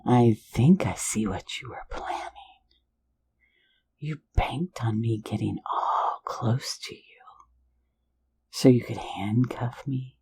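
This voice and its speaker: A woman's voice: rumbling low, slightly rough, full character voice. A woman whispering as a sly phantom thief. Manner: soft intimate whisper, mocking and coaxing. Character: sly, teasing phantom thief, playful and flirtatious. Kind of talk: ASMR roleplay. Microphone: close mic for ASMR.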